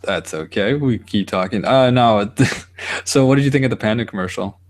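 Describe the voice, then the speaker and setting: A young man with a somewhat low voice co-hosting a podcast. He rambles through stories and swears freely. He laughs easily at his own tangents. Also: normal speed. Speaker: podcast co-host. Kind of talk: casual podcast banter.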